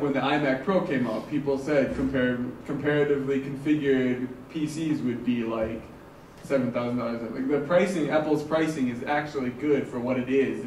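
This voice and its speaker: Loud guy ranting at a meetup, far from the mic, echoey room with faint noise. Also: mid-range voice, moderate pace. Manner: loud, animated, talks in bursts. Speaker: meetup attendee. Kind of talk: casual group discussion.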